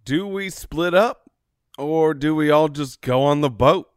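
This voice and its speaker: A man's voice: a little high, unhurried, clean tone, dry recording, studio quality. Jokey man playing a tabletop game. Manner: jokey, animated. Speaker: player and co-host. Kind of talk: casual tabletop chat.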